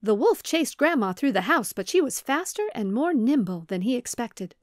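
A woman's voice: a little high, big pitch swings, normal speed, darkish tone, dry recording. Woman storyteller with a dry, close sound. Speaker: children's librarian, storyteller. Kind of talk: scripted storytelling for children.

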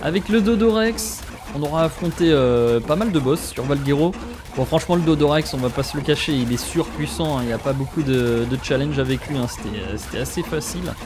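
Excitable French gamer, noisy game sound. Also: male voice, fairly high pitch, brisk. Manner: excitable, exclaiming, talks straight to viewers. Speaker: gaming YouTuber. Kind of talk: let's play commentary.

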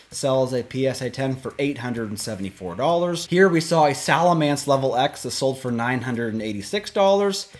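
A man in his thirties with a medium-pitched voice. He presents like an online video host, moving through a list of items in an upbeat, informative way, speaking to camera. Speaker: YouTube host. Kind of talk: scripted-style auction price rundown to camera. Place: small indoor room with shelves of collectibles.

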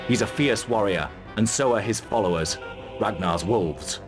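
An actor playing a stern, resolute mercenary commander in a fantasy game, speaking in a firm, terse military manner. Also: male voice, lowish voice, moderate pace, natural voice. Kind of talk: fantasy video game cutscene acting. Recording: loud music under the voice.

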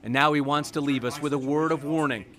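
Man, news reporter, high voice, scripted voice-over, emphatic delivery. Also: fairly even intonation, brisk, crowd noise.

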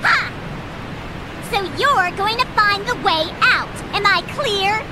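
A woman's voice: very high voice, expressive, slow, background noise, full character voice. A woman playing a feisty, bossy young hedgehog girl in a video game cutscene. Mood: bossy, angry. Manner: bossy, peppy, scolding.

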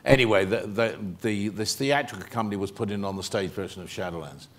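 A man's voice: lowish voice, fast. A man in his sixties with a British accent, telling stories on a theater stage during an audience Q&A, chatty and full of ums and restarts. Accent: British English. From England. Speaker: actor answering audience questions. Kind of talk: stage Q&A storytelling. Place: theater stage, seated in armchair.

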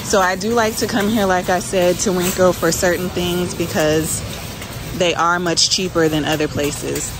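A woman's voice: on the low side, steady tone, moderate pace. A woman chatting informally as she narrates her shopping, recorded on a handheld phone inside a large grocery store. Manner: informal, conversational. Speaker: shopping vlogger. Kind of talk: casual shopping chat, narrating as she goes. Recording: clear store ambience noise behind voice. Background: supermarket background noise.

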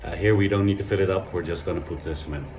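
A man's voice: deep voice, racing, background noise. Chatty man vlogging in an echoey room. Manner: relaxed, chatty, explaining as he works. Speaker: vlogger renovating a house. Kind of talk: casual vlog chat to camera. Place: outdoors and in a small whitewashed room. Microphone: handheld camera at arm's length.

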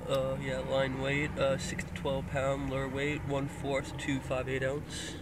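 A man's voice: medium pitch, completely flat delivery, slow pace. A young man from Florida casually showing off his fishing gear, rambling with lots of hesitant fillers, filmed on a handheld phone inside a car. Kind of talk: casual show-and-tell. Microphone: handheld phone pointed down at gear. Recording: noisy phone recording in a car.

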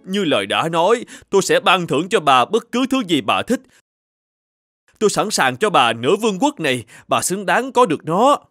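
High-pitched, grateful cartoon father. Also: sing-song, moderate pace, fairly bright tone, no echo, studio quality, soft background music, exaggerated voice. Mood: generous, insistent. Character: grateful, courteous new father from a magical kingdom. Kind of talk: animated fairy-tale dubbing.

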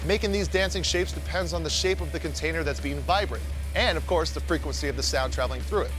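An American man in his thirties hosting and narrating a scripted science show in a jokey, theatrical way. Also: a little high. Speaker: host.

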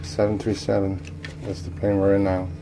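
A man's voice: deep voice, moderate pace, over music. A man giving casual, curious commentary while he walks through indoor simulators, filmed on a handheld camera. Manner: relaxed, curious, talking while walking. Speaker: urban explorer host. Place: inside a large indoor training hall and plane simulator.

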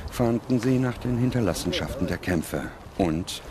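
A man reads scripted documentary narration in a measured, serious manner. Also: fairly low pitch, expressive, background noise. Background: music.

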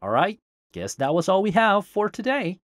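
A man presents a scripted tech tutorial in a fairly high voice with very animated, swinging intonation. The recording is close and dry, like a studio voice-over. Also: normal speed, no echo. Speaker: tutorial host. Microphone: close voice-over microphone, dry.

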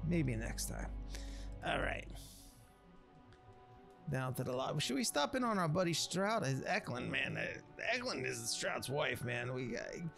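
A man with a gravelly voice, playing a streetwise storyteller in rambling, slangy talk over gameplay. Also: mid-range voice, sing-song, a little slow, long pauses, fairly bright tone. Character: streetwise storyteller recounting a local legend. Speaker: let's player narrating a roleplay story. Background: game music.

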